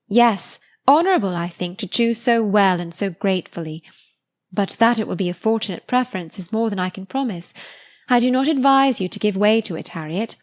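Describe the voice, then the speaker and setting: A woman reading as a composed young gentlewoman. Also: mid-range voice, close to an everyday voice. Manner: measured and firm. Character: composed, self-assured young gentlewoman advising a friend.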